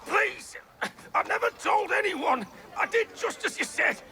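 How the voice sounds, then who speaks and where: A man's voice: very high-pitched, animated, measured pace, heavy background noise, exaggerated voice. An actor playing a cowardly apothecary in a video game, panicky and pleading for his life. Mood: terrified, pleading. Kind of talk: dramatic video game acting.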